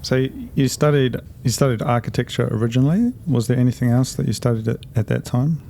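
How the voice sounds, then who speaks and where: A man's voice: mid-range voice, fast talker, vocal fry, dark tone, slight background noise. A man hosting a casual late-night interview, asking curious follow-up questions. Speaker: podcast host.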